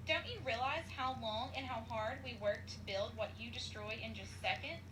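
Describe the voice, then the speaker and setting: An imperious storybook ant queen, as a woman reader puts her on, speaks high, with formal command. She is stern yet gracious. The recording has clear noise. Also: normal speed, close to an everyday voice. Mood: indignant, commanding.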